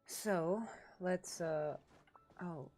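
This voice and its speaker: A woman's voice: lowish voice, very slow, a little mellow, faint music behind. A woman casually chatting as she livestreams a game, rambling to viewers and to herself. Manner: rambling, talks to chat and to herself. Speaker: game streamer. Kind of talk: casual livestream gameplay chat.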